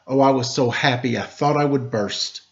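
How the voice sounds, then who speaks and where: A man reading a rhyming children's storybook aloud in an animated, theatrical way. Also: mid-range voice, moderate pace. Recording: dull lo-fi sound.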